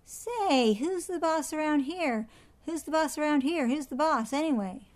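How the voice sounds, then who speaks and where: A woman's voice: a little fast, close to an everyday voice. Woman voicing a rude, squawking, bossy storybook parrot. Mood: bossy, loud. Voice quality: squawking, shrill. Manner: loud, repetitive, sassy yelling. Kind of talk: children's storybook character voice.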